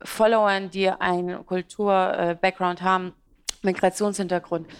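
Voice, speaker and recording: A woman's voice: on the low side, little pitch movement, normal speed, clean tone. Chatty young woman laughing between rambling answers. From Iraq. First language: Aramaic. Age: 20s. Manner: talkative, rambling, frequent laughter and fillers. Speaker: beauty and fashion blogger, guest. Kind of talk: interview answers.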